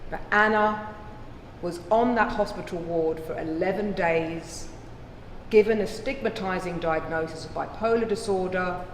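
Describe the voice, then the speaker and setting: Woman playing deliberate trial lawyer, very echoey hall. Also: lowish voice. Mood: impassioned. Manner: deliberate, rhetorical, persuasive. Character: impassioned female trial lawyer addressing a jury. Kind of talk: courtroom dramatization speech. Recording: echoey hall with faint noise.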